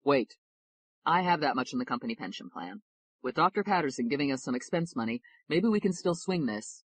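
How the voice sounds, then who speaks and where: A woman voicing a modest, earnest young male lawyer, speaking in a quiet, measured way. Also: a bass voice, expressive, breathy edge, dry recording, exaggerated voice.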